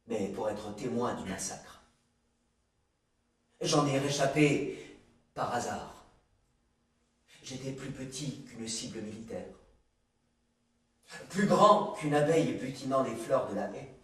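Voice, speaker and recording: A man giving a dramatic poetry recitation, declaiming and building to cries and near-singing. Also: medium pitch. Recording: echoey and distant, over guitar and violin.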